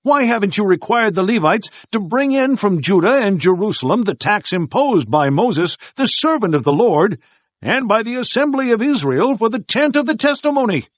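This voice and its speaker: Man playing commanding king, high-pitched, very animated, demanding, declamatory, Bible drama. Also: moderate pace, dry recording, studio quality. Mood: indignant. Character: impatient, commanding king of Judah. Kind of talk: dramatized audio Bible acting.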